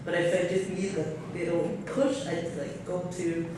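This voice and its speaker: A woman's voice: fairly low pitch, level tone, moderate pace. A woman from Norway speaking on a seminar panel, candid and thinking aloud with fillers, in a large hotel conference room. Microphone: table mic heard from far off. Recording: very echoey room, far from the mic, noisy.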